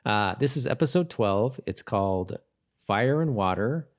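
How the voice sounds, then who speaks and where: Low-pitched man, casual podcast co-host. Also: lively intonation, a little slow. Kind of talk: casual rewatch podcast chat.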